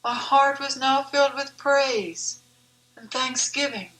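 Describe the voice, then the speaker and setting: A woman reciting her own devotional poem in a measured, deliberate, reverent manner. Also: high-pitched, a bit bright, poor audio. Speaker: author reading own poem.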